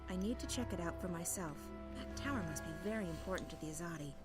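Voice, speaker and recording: Lady voicing a steady rebel leader in a fantasy game, lower voice, music behind. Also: quick pace, lightly acted. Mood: calm, focused. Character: resolute, level-headed female rebel leader.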